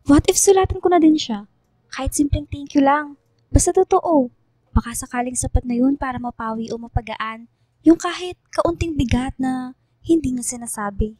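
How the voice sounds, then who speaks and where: Woman playing earnest teenage schoolgirl, high mellow voice, caring, respectful, radio drama. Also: normal speed, dry recording. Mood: worried, earnest.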